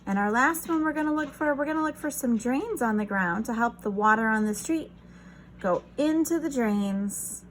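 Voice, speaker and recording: A woman's voice: fairly high pitch, expressive, moderate pace, a little hiss or hum, poor audio. A woman reading a picture book aloud to young children in an encouraging, instructional manner. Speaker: children's read-aloud teacher.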